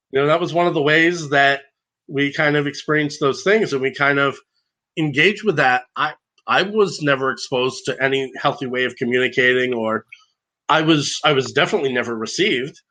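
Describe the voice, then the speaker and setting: A man speaking as a podcast guest, loud and emphatic, talking earnestly about his own experience. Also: mid-range voice, normal speed. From grew up in a divorced family. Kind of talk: conversational podcast interview.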